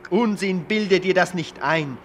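An actor playing a sober grown son in a radio play, speaking in a measured, reassuring way to his father. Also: high voice, a little hiss or hum. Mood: reassuring, insistent.

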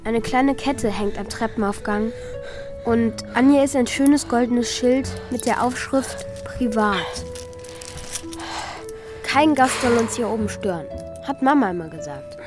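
A woman's voice: fairly high pitch, a little slow, over music, close to an everyday voice. A woman playing a secretive school-age child who narrates and acts in a children's radio play. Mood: confiding.

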